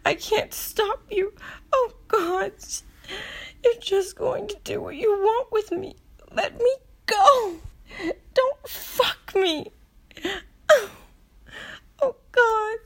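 A woman playing a proud, distressed adult demigoddess in an audio roleplay, with halting, breathy exclamations. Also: very high voice, fairly bright tone, heavily acted. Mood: distressed, pleading.

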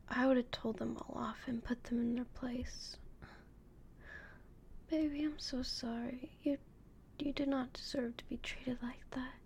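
A young woman whispering slowly and intimately as a doting, possessive girlfriend in an audio roleplay. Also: fairly high pitch, gravelly, a little hiss or hum. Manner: soft whispering, slow and intimate.

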